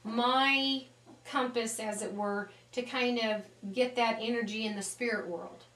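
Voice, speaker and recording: Woman, high-pitched voice, conversational interviewee, animated storyteller, echoey room recording. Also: a little slow. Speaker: animal communicator being interviewed.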